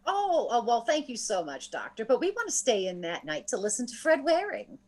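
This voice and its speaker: A woman playing a patient, loving wife in an old-time radio comedy, folksy and gently teasing. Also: a little high, wildly swooping pitch, brisk. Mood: cheerful.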